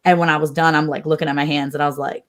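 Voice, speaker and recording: A middle-aged woman with an American accent, chatting casually and telling animated stories with acted-out quotes. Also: deep voice, very fast. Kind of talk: casual chat, anecdotes.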